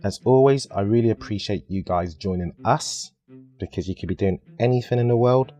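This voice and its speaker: A man's voice: on the low side, fairly quick, dark tone, faint music behind. A British man hosting a podcast in a casual, jokey, chatty way. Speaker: podcast host.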